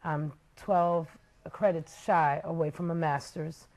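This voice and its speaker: A woman from the Bronx, calmly explaining her work and education as a talk show guest, pausing with the odd filler. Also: low voice. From the Bronx, New York. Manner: measured, explanatory, some uhs. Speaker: guest, nonprofit founder. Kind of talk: interview answers.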